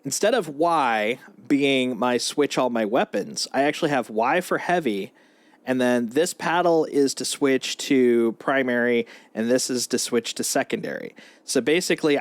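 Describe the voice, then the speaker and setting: A man's voice: mid-range voice, no room sound. A man chatting casually and in a rambling way as he live streams a video game. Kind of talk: casual gaming stream chat.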